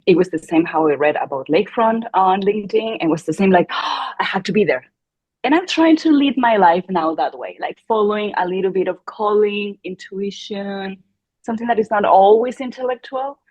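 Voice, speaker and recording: Animated Chilean woman, husky and lo-fi. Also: mid-range voice, normal speed, dry recording. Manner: animated, quoting her own thoughts with gasps. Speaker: podcast guest. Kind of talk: conversational interview. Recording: dull lo-fi sound.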